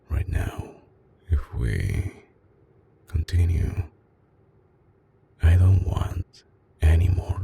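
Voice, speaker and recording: A man playing a tender, possessive mafia boss with an Italian accent in an intimate, soft-spoken ASMR roleplay, his voice deep, breathy and husky. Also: slight background noise, exaggerated voice. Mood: protective, intimate. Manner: slow, hushed, coaxing, with dramatic pauses. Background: music.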